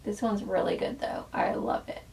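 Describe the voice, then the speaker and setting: A woman's voice: medium pitch, steady tone, moderate pace, vocal fry, a little mellow, far from the mic. A woman chatting through a makeup tutorial as a former makeup artist, talking in a casual way full of fillers, losing her voice so that it often cracks.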